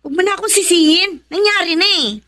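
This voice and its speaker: A woman's voice: extremely high pitch, fast talker, sharp tone. A woman playing a young, practical but plaintive commuter in a Filipino radio drama. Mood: rueful, annoyed.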